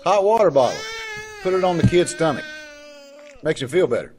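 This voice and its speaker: A very low-voiced, lively police officer sidekick played by a woman in a radio crime drama. The talk is folksy and chatty, with clear noise behind it. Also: brisk. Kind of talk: old-time radio police drama acting. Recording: noisy, with clicks and background sounds.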